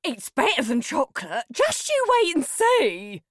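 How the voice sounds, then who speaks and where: Children's story mum, acted by a woman: high, swooping, doting, pleading, coaxing, dry close recording. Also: huge pitch swings, a little slow, fairly bright tone, no room sound, full character voice. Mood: encouraging. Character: doting, pleading mother coaxing her fussy son.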